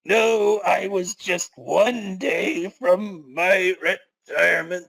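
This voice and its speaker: Man playing an earnest, creaky old royal guard. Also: high voice, drawn-out words, fairly bright tone, dry recording, heavily acted, rough recording. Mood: mournful. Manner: formal, chivalrous.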